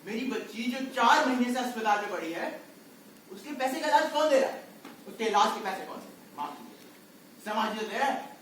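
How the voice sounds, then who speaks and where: A man's voice: extremely high pitch, fairly quick, fairly bright tone. A man playing a desperate, bitter circus clown and father on stage, declaiming and firing off rhetorical questions. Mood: bitter, pleading. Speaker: stage actor. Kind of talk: amateur Hindi stage play acting. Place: indoor stage, recorded from the audience area. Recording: distant, very echoey hall, faint noise.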